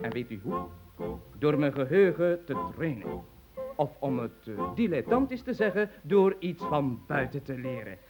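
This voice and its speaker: A man's voice: medium pitch, big pitch swings, a little slow, music in the background. A man playing a pompous, long-winded and bossy industrialist in an absurdist comic radio play. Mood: pompous, lecturing. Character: eccentric, pompous male industrialist, fussy and bossy.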